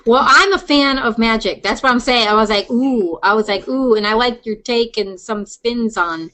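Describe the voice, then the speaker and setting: A woman's voice: a little high, normal speed, sharp tone. A woman interviewing in a casual chat, informal and enthusiastic. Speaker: podcast interviewer.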